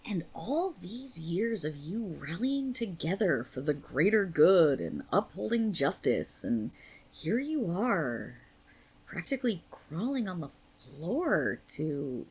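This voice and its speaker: A woman's voice: lowish voice, very animated, takes it slowly, darkish tone, husky, full character voice, rough recording. A woman voicing a suave, scheming villain boss who talks in a silky, condescending way and purrs endearments, in an ASMR roleplay audio drama. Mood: scornful gossip. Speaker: voice actor.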